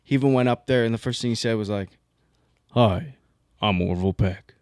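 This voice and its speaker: A man's voice: fairly low pitch, a little fast, darkish tone, dry recording. Laid-back young man, dry close sound. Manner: joking, trash-talking, laid-back. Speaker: podcast co-host. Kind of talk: casual banter.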